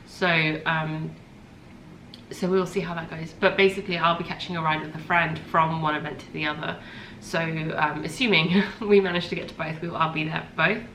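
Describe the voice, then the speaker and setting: A woman's voice: sits low, steady tone, brisk, a bit bright. A woman in her thirties hosting a podcast, chatting casually and rambling with lots of ums, a little congested from a cold. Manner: rambling, chatty, with many ums and self-corrections. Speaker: podcast host. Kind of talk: casual chat. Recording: echoey room with faint ambient noise.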